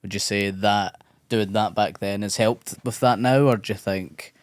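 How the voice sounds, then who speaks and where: A man's voice: on the low side, steady tone, moderate pace, no echo. A man hosting a podcast, asking short casual questions. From Scotland. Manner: short friendly prompts and questions. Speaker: podcast host.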